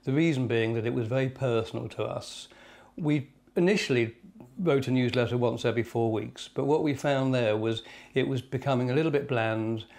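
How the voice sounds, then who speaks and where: A man's voice: mid-range voice, normal speed, a little mellow. A male shopkeeper explaining his experience in an interview. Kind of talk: interview answer. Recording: faint room ambience.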